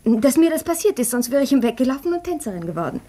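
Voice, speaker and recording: A woman's voice: high-pitched, sing-song, fast. An actress playing a nervous, impulsive young upper-class woman in a period radio drama, intense and abrupt. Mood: gushing, wistful. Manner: intense, abrupt, swinging between gushing and sharp.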